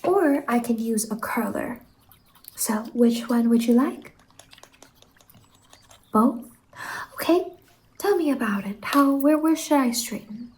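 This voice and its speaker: Casting: female ASMR performer as a whimsical, friendly stylist; high, unhurried, soft and whispery, gently reassuring. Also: lively intonation. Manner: gentle, reassuring, addresses listener as a client.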